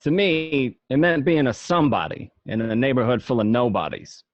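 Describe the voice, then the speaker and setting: A man's voice: mid-range voice, normal speed, no echo, dull audio, natural voice. A man playing a gangster who looks back on his youth, narrating in a reflective, matter-of-fact way for an amateur radio drama. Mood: nostalgic, proud.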